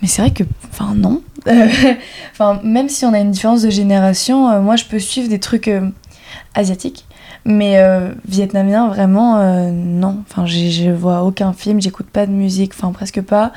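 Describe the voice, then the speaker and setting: Hesitant young woman with a mellow voice. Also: mid-range voice, fairly even intonation, normal speed. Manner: hesitant, searching for words. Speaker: younger guest. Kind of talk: casual conversation.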